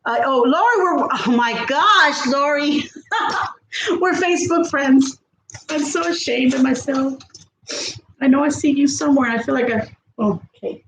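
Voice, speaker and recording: A woman's voice: sits high, a little slow, pauses often, fairly bright tone, reverberant, slight background noise, rough recording. A woman in her fifties from South Texas with a Texan accent, chatting on a live cooking stream, rambling in a friendly way. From South Texas, USA. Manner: rambling, friendly, often laughs and trails off. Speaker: cooking vlogger on a livestream. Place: home kitchen.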